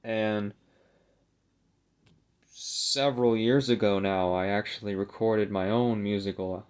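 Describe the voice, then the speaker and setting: Young man, slightly low voice, casual vlogger talk, hesitant and self-deprecating. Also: steady tone, slow, a little mellow, smooth. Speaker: video creator showing a collectible. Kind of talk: casual unscripted vlog chat.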